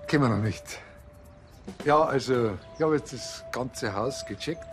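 A man's voice: mid-range voice, measured pace, soft background music, natural voice. An actor playing an easygoing, slightly self-important Bavarian policeman, folksy and reassuring, in a TV crime comedy. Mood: reassuring, chatty. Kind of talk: television crime comedy acting.

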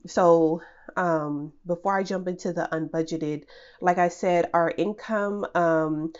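A woman with a stuffy, congested head-cold voice sits lower in pitch as she talks through her planner pages. She rambles in a casual way, pausing with ums and restarting sentences. The recording is a little dull and lo-fi. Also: fairly even intonation, a little mellow, clear, resonant tone. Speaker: budgeting channel host. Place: at home, over a desk. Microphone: camera above the desk, speaker off screen.

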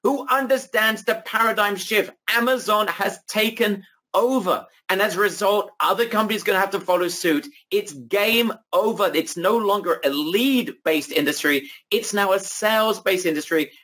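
A man presenting a sales webinar over slides, emphatic and repetitive, his voice going hoarse. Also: high voice, moderate pace, pushed and bright. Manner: emphatic, repetitive hype, addresses audience as guys.

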